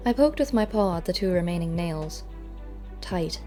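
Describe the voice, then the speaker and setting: A woman reading a first-person story aloud as an audiobook narrator, measured and expressive. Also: on the low side. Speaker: audiobook reader. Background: faint music.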